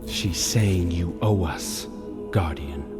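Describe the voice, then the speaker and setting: A man's voice: deep voice, takes it slowly. An actor playing a haughty, languid nobleman with a drawling, sardonic delivery in a video game cutscene. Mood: mocking. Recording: music behind.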